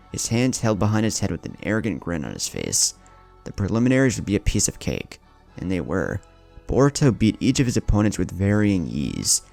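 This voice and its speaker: A male narrator reads scripted adventure fiction in a dramatic manner. His voice is low and gravelly, with lively movement in pitch as he tells the story. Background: music bed.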